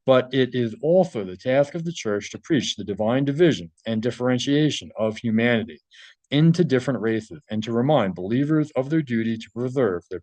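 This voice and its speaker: A man's voice: medium pitch, a little mellow, no echo, poor audio. A man reading a translated article aloud and adding his own informal commentary.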